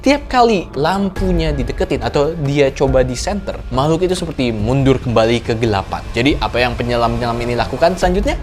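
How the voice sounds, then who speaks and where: A man hosting a horror reaction video, giving dramatic, suspenseful commentary with rhetorical questions and exclamations. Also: mid-range voice. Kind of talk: dramatic storytelling commentary to viewers.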